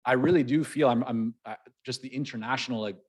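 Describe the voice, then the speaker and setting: A man from Canada, whose first language is Hebrew, hosting a conversational interview in a relaxed way and often repeating words as he thinks. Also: medium pitch, fast talker, husky. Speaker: podcast host.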